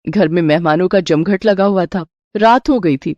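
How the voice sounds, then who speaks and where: A woman's voice: on the low side, normal speed, a little mellow, no room sound, highs cut off. A woman narrating a scripted Hindi moral bedtime story as a storyteller.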